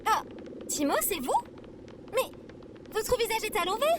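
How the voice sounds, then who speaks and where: A woman's voice: very high-pitched, sing-song, measured pace, background noise, heavily acted. A woman voicing a cheerful, naive female robot in an audio comedy, bubbly and theatrical. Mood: curious, amused. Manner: bubbly, theatrical, formal address. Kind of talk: audio fiction comedy acting.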